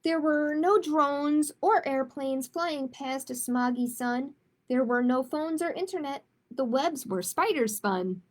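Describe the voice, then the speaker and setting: Slow-paced, high-voiced woman hosting storytime. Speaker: children's librarian hosting storytime. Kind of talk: picture book read-aloud, then casual announcements.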